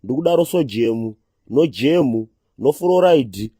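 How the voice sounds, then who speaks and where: A man voicing a streetwise, cheerfully crude Zimbabwean township hustler in a comedy cartoon, husky and thick with street slang. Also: medium pitch, expressive, normal speed, mellow, dry recording, clean studio sound. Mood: slangy ranting.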